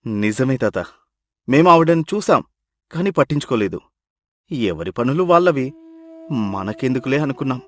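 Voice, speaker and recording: A man's voice: medium pitch, big pitch swings, normal speed, pauses often, dry recording, close to an everyday voice. Man as an earnest, humbled educated young man. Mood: ashamed. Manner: earnest, emphatic, pleading. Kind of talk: dramatized moral story.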